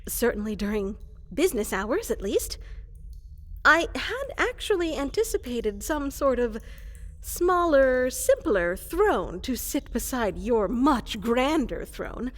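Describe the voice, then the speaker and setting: A woman's voice: a little high, very animated, slow, heavily acted. A woman playing a fluffy, woolly llama-like creature in a fantasy roleplay, eager to serve as an evil advisor, speaking with flustered, hesitant politeness. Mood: eager, deferential. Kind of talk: roleplay ASMR character acting. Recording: long reverberant hall echo.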